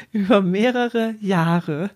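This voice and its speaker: A woman's voice: mid-range voice, drawn-out words, darkish tone. A woman, explaining things in a casual, colloquial way as a co-host. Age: 40s. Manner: informal, colloquial with some English slang.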